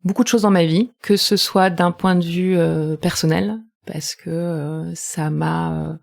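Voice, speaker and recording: A woman's voice: fairly low pitch, steady tone, a little slow, creaky, a little mellow. A young woman hosting an interview podcast, who talks hesitantly with many fillers and restarts. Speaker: podcast host and coach.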